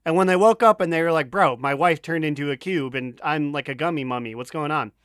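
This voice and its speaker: A man with a fairly high voice talks casually on a podcast. The sound is dry, without room echo. He retells a story jokingly, tossing in made-up lines of dialogue. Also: brisk, a bit bright, clean tone. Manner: joking, riffs in imagined quotes. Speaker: podcast co-host.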